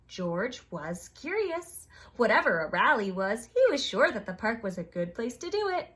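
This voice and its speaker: A woman reading a picture book aloud to young children, expressive, with chatty exclaiming asides to her listeners. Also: fairly high pitch, normal speed. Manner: expressive, addresses children, exclaims and reacts to the story. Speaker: children's storytime reader.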